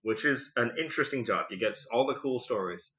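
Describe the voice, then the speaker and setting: A man's voice: medium pitch, brisk. A man in his thirties, a podcast guest telling his story in a casual, chatty way, on a dull lo-fi recording. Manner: chatty, lively, leans on "like" and "you know".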